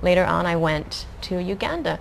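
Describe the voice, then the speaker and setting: A young adult woman from the Philippines, a guest answering interview questions in a calm, measured way. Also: lowish voice, creaky, poor audio. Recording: faint background noise.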